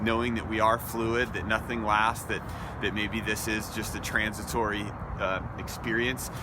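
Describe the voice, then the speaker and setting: A man's voice: on the low side, flat delivery, moderate pace, heavy background noise. A man recording a personal voice memo, reflecting and reading aloud in a thoughtful way. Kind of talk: casual reflection, then reading an essay aloud.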